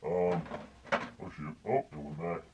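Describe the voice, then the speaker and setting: A man's voice: deep voice, unhurried, noisy background. A man hosting a casual product review, his voice muffled inside a helmet. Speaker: YouTube product reviewer and host. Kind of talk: casual review sign-off while wearing a helmet. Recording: dull, muffled lo-fi sound.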